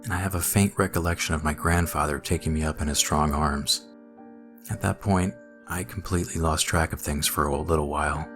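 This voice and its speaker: A man narrating a scripted first-person horror story in a measured, dramatic way. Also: a bass voice, steady tone. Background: music.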